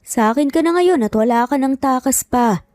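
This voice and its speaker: A woman's voice: high voice, lively intonation, normal speed, a little mellow, no echo, voice pushed up. Woman voicing a taunting male kidnapper, dry recording. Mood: bitter, threatening. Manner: taunting, menacing. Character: gloating, vengeful middle-aged male kidnapper, uncle villain.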